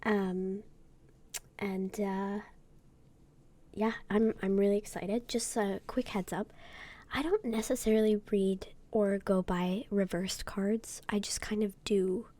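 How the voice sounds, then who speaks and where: Woman, soft whispery ASMR voice, mellow and warm, gentle and unhurried, a bit sick. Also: mid-range voice, steady tone. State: sick, occasional throat clearing. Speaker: YouTuber. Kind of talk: whispered ASMR tarot deck unboxing.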